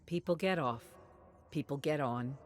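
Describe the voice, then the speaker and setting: A woman reading a scripted story in a measured, expressive, dramatic way, sometimes dropping to a hush. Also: low-pitched, soft background music. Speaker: narrator of game lore stories.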